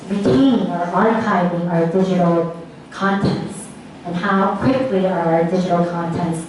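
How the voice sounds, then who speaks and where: A woman answers questions after a lecture, picking her words slowly and thoughtfully, with some hesitation. Her voice sits at a medium pitch. She is recorded from far off in a strongly reverberant room. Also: darkish tone. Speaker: academic speaker answering audience questions. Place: large echoing lecture room, distant mic. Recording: echoey hall from far off, faint noise.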